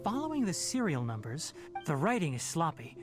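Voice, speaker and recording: Thoughtful, lively-toned young investigator. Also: male voice, fairly high pitch, over loud music. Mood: analytical, pondering. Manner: measured, pondering. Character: thoughtful young male investigator, calm and analytical. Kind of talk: anime-style video game voice acting.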